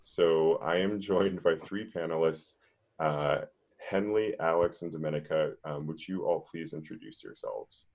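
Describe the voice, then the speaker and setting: A man's voice: extremely low pitch, measured pace, darkish tone. A man moderating an online panel over a video call, explaining things steadily with frequent "um"s. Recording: muffled, lo-fi video call audio.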